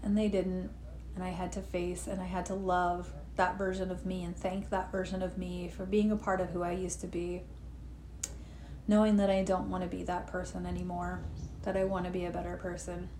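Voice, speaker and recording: A woman guiding a relaxed live meditation session, gently talking, with personal asides, sniffly from allergies. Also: fairly low pitch, moderate pace. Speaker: meditation guide. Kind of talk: guided meditation talk. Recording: echoey room with faint noise.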